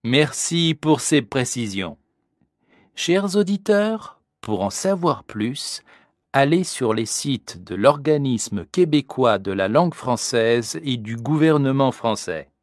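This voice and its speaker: Lively, slow-speaking woman interviewer. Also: rumbling low, no echo, studio quality. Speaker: radio-style interviewer. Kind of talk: scripted educational interview.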